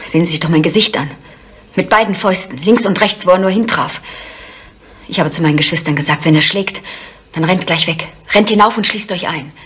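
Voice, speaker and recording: An actress playing the guarded eldest daughter of a brutal innkeeper in a German radio crime drama. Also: female voice, lowish voice, brisk, fairly bright tone, lightly acted. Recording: faintly noisy 1970s radio play.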